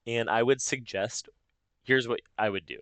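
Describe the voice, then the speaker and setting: A man narrating a casual step-by-step software tutorial, explaining informally and thinking aloud as he goes. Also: medium pitch, steady tone, moderate pace, clean tone, no room sound. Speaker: tutorial host.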